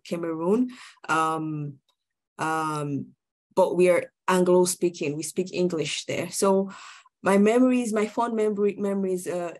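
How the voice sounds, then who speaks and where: A woman from Nigeria, a native Igbo speaker, giving a friendly, casual presentation over a video call. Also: fairly low pitch. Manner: friendly, with soft laughs and many fillers. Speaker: guest presenter.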